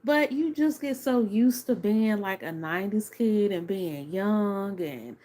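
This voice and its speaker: A woman's voice: medium pitch, expressive, unhurried, warm tone. A woman in her thirties giving a casual, opinionated video review, chatty and full of fillers. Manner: chatty, many fillers like "like" and "you know"; earnest, opinionated. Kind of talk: casual commentary to camera.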